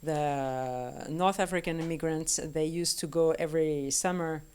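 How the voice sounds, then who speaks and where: A woman with a low, smooth, clear voice speaks slowly over a video call. She grew up in France and talks as a guest in a relaxed conversation. She pauses often to search for words. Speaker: guest vocalist. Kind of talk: casual conversation.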